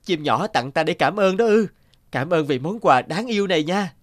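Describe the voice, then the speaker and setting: A man voicing a devoted, kindhearted young fiddler in a fairy-tale cartoon, earnest and expressive. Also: on the high side, moderate pace, lightly acted. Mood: tender, cheerful. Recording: music under the voice.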